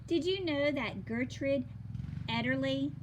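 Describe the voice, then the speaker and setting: Woman as slow, high-voiced know-it-all little girl. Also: noisy background. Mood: proud, informative. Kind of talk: storybook read-aloud.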